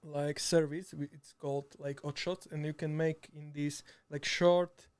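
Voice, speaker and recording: A Slovak man chatting in halting, hesitant English, full of restarts and laughter, sitting a bit far from the mic. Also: fairly high pitch, little pitch movement, darkish tone, clean tone. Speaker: streamer and video editor guest. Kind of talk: casual chat. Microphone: too far from the mic.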